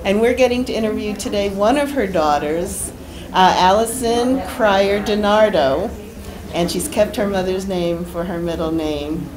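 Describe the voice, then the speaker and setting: A woman interviewer who introduces her guest and asks questions in a warm, conversational way. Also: mid-range voice, unhurried, crowd noise, rough recording.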